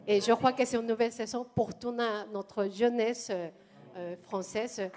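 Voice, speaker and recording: A woman from Mexico, speaking with a Mexican Spanish accent in her second language, giving a halting personal testimony in church. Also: a little high, fairly even intonation, a little mellow. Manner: hesitant, halting, many pauses.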